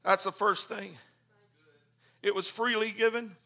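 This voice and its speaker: A man preaching a Pentecostal sermon, swinging from hushed, quick asides to shouted hallelujahs. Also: high-pitched, level tone. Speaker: pastor. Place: church.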